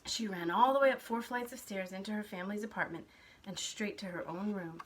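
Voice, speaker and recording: A woman's voice: mid-range voice, fairly quick, a little hiss or hum. A woman reading a picture book aloud to preschool children. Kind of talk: children's storybook read-aloud.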